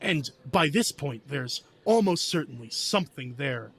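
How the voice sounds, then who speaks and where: A man's voice: a little high, lively intonation, a little slow, fairly bright tone, breathy edge. A man playing a tabletop game, narrating his character in the third person with dramatic relish.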